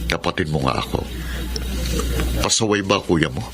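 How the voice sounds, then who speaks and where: A remorseful Filipino father in a radio drama, newly out of prison. His middle-aged voice sits low and carries open emotion. Music plays clearly beneath him. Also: expressive, normal speed. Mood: earnest, gentle.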